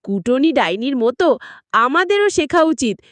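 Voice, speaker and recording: A woman reads a children's tale as narrator. Her voice is high and moves through wide, animated pitch swings. The sound is very dry, with no room around it. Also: unhurried, no echo. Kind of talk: scripted children's story narration.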